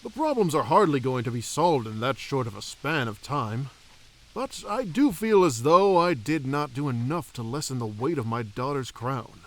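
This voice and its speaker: A man playing a humble, troubled elf king and father in a fantasy audio drama, reflective and gently formal. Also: medium pitch, animated, moderate pace, lightly acted, rough recording.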